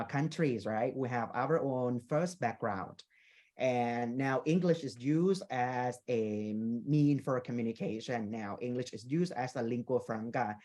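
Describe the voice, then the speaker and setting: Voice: mid-range voice, a little mellow. A speaker from Thailand gives a conference presentation on their work as a teacher trainer. They explain points patiently, lean on "you know," and keep checking in with listeners with "okay?" and "right?".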